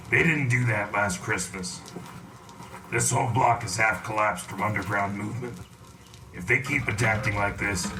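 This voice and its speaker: Man playing a low-voiced, deadpan frontline war correspondent. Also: distant mic, poor audio. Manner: terse, deadpan. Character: gruff, wry male frontline war correspondent. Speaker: field correspondent. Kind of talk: radio drama field report.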